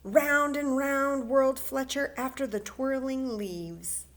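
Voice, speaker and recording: Woman doing kids story time, really slow careful reading, expressive, asks the little ones questions. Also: sits high. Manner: expressive, coaxing questions to children. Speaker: library story time reader. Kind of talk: children's read-aloud with asides to young listeners.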